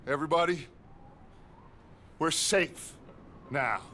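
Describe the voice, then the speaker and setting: An actor playing a middle-aged, charismatic outlaw gang leader in a video game, fatherly and rousing, speaking deliberately with pauses like an orator. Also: a little high, bright, forceful tone, slight background noise. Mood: solemn, rousing. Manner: oratorical, deliberate, pausing between phrases. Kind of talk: dramatic video game acting.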